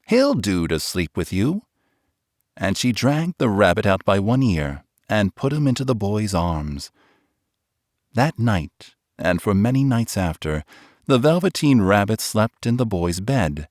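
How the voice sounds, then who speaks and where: A man reading a children's storybook aloud in a gentle, expressive way, easing the pace on tender moments. His voice sits on the low side yet rises and falls with a lot of life. He is recorded close and dry on a studio microphone. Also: unhurried, dry recording. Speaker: audiobook narrator. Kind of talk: scripted storybook narration.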